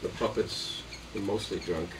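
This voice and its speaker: A man's voice: low-pitched, a little slow. A man chatting casually, with a loose, teasing and conversational manner. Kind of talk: casual chat, joking banter. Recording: noisy, distant mic.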